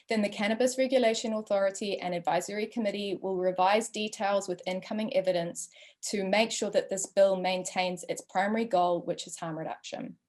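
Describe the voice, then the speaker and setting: A woman's voice: mid-range voice, darkish tone. Earnest young New Zealand woman hosting. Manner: earnest, frequent ums. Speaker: panel host. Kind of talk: webinar introduction and opinion.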